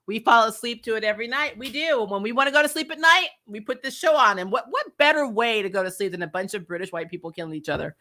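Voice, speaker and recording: A woman's voice: a little high, fast talker, sharp tone. A woman hosting a casual, opinionated live review, talking in a blunt, exasperated, sweary way and laughing as she rants. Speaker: host of a TV review show.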